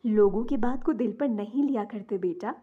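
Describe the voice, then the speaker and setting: A woman voicing a gentle, resigned mother who soothes and explains patiently in a storybook tale. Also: mid-range voice, moderate pace, husky, phone-quality sound, pitched below the performer's own voice, close to an everyday voice. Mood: consoling. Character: gentle, resigned, poor widowed mother consoling her child.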